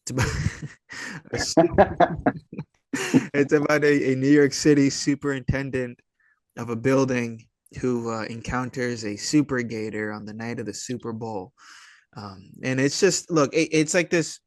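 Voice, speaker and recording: A man's voice: mid-range voice, dry recording. Laid-back man, very dry close sound. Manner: rambling, halting, laid-back dude talk. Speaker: interview guest, indie filmmaker and writer. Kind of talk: casual, conversational interview answers.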